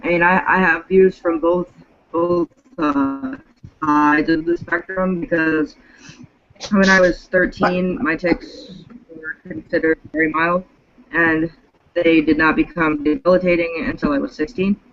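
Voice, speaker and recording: A woman's voice: sits low, steady tone, a little slow. A woman in her twenties, a guest in a casual group chat, speaking hesitantly with frequent ums and restarts. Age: 20s. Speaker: panel guest. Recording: dull lo-fi sound.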